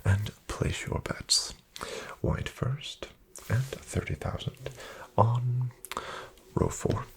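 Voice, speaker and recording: A man doing a soft-spoken ASMR roleplay as a courteous casino dealer, breathy and often near a whisper, in formal, measured patter. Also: mid-range voice. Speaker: ASMR performer playing a casino card dealer. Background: card shuffling and clicks.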